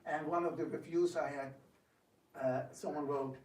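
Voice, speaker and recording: A man with a slightly high voice speaks informally to a group, some way from the mic in an echoey room. He tells stories in an animated way, often repeating words as he searches for the next one. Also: moderate pace. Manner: animated storyteller, acts out quotes, hesitant repetitions. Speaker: devotional speaker and drama director taking questions. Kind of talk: informal spiritual talk with questions. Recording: echoey room, distant mic, faint noise.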